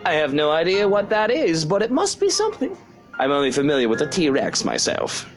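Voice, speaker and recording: A man's voice: fairly high pitch, wildly swooping pitch, a little fast, over loud music. A man voicing a grumpy, sardonic, put-upon uncle in a visual novel game, with theatrical delivery. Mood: wry. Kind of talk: visual novel character voice acting.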